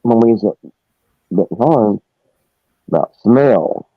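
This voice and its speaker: A man's voice: on the low side, lively intonation, takes it slowly, no echo. A man casually recounting personal experiences as a guest, rambling and searching for words. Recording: dry, thin phone-like line.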